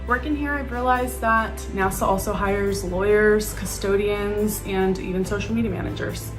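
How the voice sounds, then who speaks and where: A woman's voice: medium pitch, fairly even intonation, normal speed, low-quality recording. Woman public affairs officer over music. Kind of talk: recorded personal testimonial.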